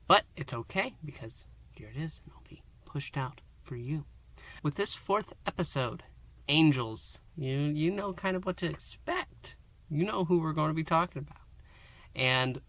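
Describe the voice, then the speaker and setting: A man hosting a fan review and recap, speaking in short, halting phrases with theatrical emphasis. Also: mid-range voice, fairly bright tone. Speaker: host of a fan review show. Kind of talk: review and recap to camera, semi-dramatic storytelling.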